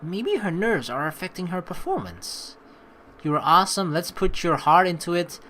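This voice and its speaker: A man's voice: high-pitched, moderate pace, a little hiss or hum. A young man giving chatty let's play commentary, reading game text aloud and reacting to it. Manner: animated, chatty, reacting as he reads.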